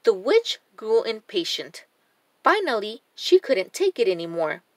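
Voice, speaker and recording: A woman narrating a children's storybook in a deliberate, expressive reading style. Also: fairly high pitch, no room sound.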